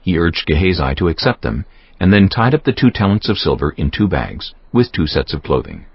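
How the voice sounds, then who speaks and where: A man narrating a dramatized scripture audiobook in a solemn, measured storytelling manner. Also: low-pitched, sing-song, breathy edge, dry recording. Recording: dull lo-fi sound, faint music bed.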